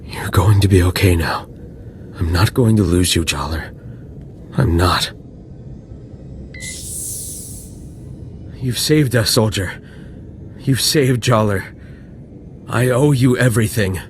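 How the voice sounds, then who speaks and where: An actor playing a desperate, sick villager and devoted husband in a video game, emotional and faltering. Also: male voice, fairly low pitch, unhurried, long pauses, slight background noise, close to an everyday voice. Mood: relieved, grateful. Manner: pleading, stammering, emotional. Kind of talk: video game dialogue acting.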